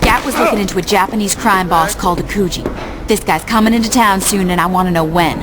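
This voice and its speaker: An actress playing a tough, bossy street gang leader in a video game, curt and sarcastic. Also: female voice, mid-range voice, fast talker, background noise, lightly acted. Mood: curt, commanding. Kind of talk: video game cutscene acting.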